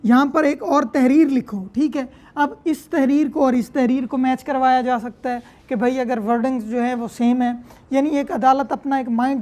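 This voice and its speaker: A woman's voice: sits high, warm tone. A woman with a Pakistani accent giving an explanatory law lecture in a didactic way, with frequent check-in tags. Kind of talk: explanatory lecture, reading out and paraphrasing legal text.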